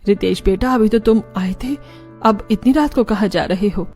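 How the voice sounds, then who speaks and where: A woman acts an older cartoon mother, pitched down and motherly. She sounds concerned and questioning. Gentle music runs under the scripted lines. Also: normal speed, lightly acted. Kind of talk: scripted cartoon story dialogue.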